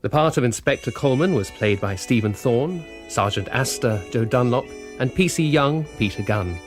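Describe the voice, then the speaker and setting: A man's voice: mid-range voice, expressive, normal speed. A man reading a scripted cast and credits announcement as a radio announcer. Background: music.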